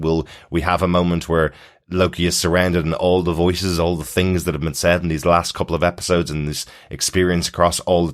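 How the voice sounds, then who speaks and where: Male podcast co-host with a very deep voice for relaxed, conversational TV reviewing. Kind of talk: casual TV review chat.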